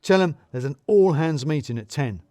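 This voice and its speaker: A man's voice: a little high, expressive, normal speed, warm tone, no room sound, studio quality, close to an everyday voice. Man playing a pompous, bossy office boss. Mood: brisk, officious. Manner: pompous, clipped, emphatic. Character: self-important male office boss, bossy and jargon-spouting.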